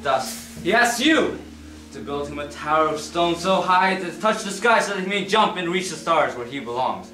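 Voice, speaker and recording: A man's voice: on the high side, animated, moderate pace, bright, forceful tone. A young man playing a pompous herald of a divine astronomer, declaiming theatrically on stage. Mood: solemn, commanding. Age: young adult. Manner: pompous, proclaiming. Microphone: far camera. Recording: roomy stage, distant camera, background noise.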